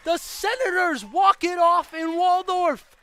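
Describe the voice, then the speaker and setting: A young American man with a high, bright voice calls baseball play-by-play. He takes his time between phrases, describing the game as a broadcast announcer. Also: takes it slowly, faint music behind. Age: young adult. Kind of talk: live baseball radio and TV play-by-play commentary.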